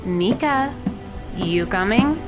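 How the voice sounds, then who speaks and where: Woman as a firm, mid-pitched woman in charge. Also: expressive, slow pace. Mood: brisk, impatient. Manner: firm, formal, matter-of-fact. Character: brisk, composed, commanding young woman taking charge. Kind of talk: audio drama acting. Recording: music and rain behind voice.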